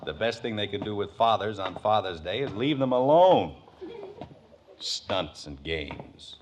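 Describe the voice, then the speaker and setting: A man's voice: fairly low pitch, lively intonation, measured pace. An actor playing a sarcastic, exasperated middle-aged American suburban father in a 1950s radio sitcom. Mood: resigned, muttering. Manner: dry sarcasm, sputtering indignation. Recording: noisy old radio broadcast recording.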